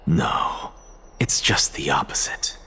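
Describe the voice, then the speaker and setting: An actor playing an aloof, defiant male scholar in a game, speaking in a cool, lecturing, disdainful way. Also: sharp tone. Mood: scornful.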